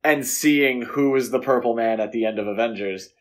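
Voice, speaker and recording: A man's voice: mid-range voice, moderate pace. A man co-hosting a podcast, chatting casually about films with conversational, wry asides. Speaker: podcast co-host. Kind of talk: casual film discussion.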